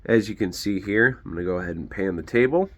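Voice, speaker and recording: A man's voice: lowish voice, fast talker. A man with a congested, sick voice giving a casual, rambling show-and-tell as host of a collection channel.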